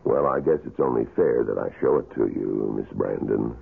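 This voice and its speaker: Through a tinny old radio broadcast comes a somber wax museum employee. His extremely deep, gravelly voice recounts grim things in a deliberate, grave way, in the style of seventies radio drama. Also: heavily acted. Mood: somber, polite. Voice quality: gravelly, husky. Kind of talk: 1970s radio drama acting.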